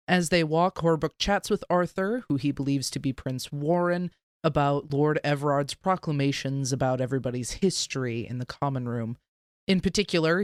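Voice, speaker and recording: A man co-hosting a podcast, chatting casually and recapping in a smooth, clear voice. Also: mid-range voice, dry recording, clean studio sound. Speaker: podcast co-host. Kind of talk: casual chat with recap narration.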